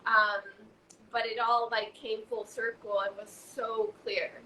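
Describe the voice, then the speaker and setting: A young woman from Hungary talks as a guest in a relaxed chat. Her voice sits a little high and sounds bright. She stops and restarts often and fills gaps with "um" and "like", and a faint hiss sits behind her. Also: low-quality recording. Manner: halting, many fillers and restarts. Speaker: guest, raw food author. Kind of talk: casual conversation.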